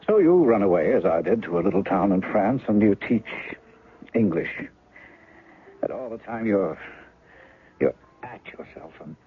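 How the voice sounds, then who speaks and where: Wry, self-mocking novelist; lowish, animated voice; phone-thin old radio. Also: male voice, normal speed, long pauses, husky, heavily acted. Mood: rueful. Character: rueful blocked novelist in disguise. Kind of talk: 1970s radio drama acting. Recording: narrow 1970s radio broadcast, faint music.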